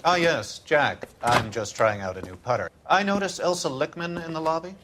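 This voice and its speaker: A man's voice: a little high, animated, normal speed, noisy background, close to an everyday voice. A man playing a smug, domineering company boss in a crime game, American-accented, condescending and breezily familiar. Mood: breezy, smug. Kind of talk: naturalistic crime game acting.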